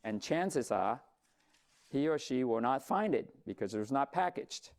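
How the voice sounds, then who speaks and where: A man's voice: mid-range voice, lively intonation, measured pace. Brief: middle-aged man from Vietnam, founder presenting to an audience, easygoing storyteller, mid-range voice. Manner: conversational storytelling with light humor, occasional fillers. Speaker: company founder giving a talk.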